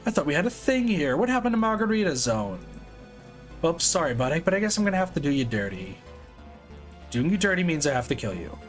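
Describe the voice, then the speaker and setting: A man's voice: a little high, big pitch swings, brisk, faint music behind. A man voicing a smug, manipulative male villain who taunts with theatrical mockery. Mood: taunting. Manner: mocking and theatrical.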